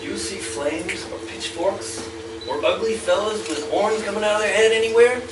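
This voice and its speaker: A man's voice: high-pitched, fairly even intonation, moderate pace, natural voice. A man playing an older, wiser, blunt mentor in a dream, admonishing at a staged comedy table read. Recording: very distant mic, noisy echoey room.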